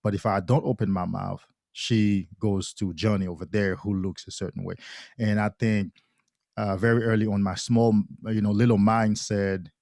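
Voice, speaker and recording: A man's voice: fairly low pitch, steady tone, creaky, darkish tone, no echo, clean studio sound. A man from Haiti, a podcast guest talking thoughtfully in casual conversation, often restarting his sentences. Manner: thoughtful, halting with frequent restarts.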